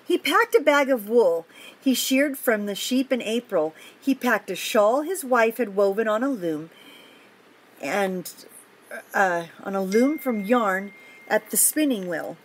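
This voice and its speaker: An older woman reading a picture book aloud to children, pausing for simple, encouraging chatty asides about the pictures. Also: on the high side, animated, a little hiss or hum. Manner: encouraging, explains pictures simply to young listeners.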